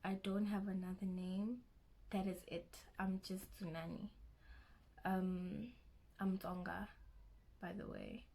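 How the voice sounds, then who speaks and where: A woman's voice: medium pitch, level tone, slow pace, gravelly, pauses often, mellow. A young woman with a South African accent, chatting casually and a little nervously in her first vlog. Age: young adult. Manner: nervous, chatty, self-conscious, restarts often. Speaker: vlogger.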